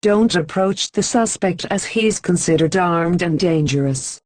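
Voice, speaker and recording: Woman, emergency alert announcer, formal even delivery, lowish voice, dry close sound. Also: measured pace, dry recording. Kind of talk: scripted emergency broadcast announcement.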